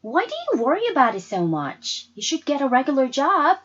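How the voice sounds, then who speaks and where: A woman's voice: high-pitched, wildly swooping pitch, a little fast, fairly bright tone, muffled recording, voice pushed up, heavily acted. A woman voicing a shy, wishful, pouting sixth-grade girl in a storybook reading. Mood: complaining.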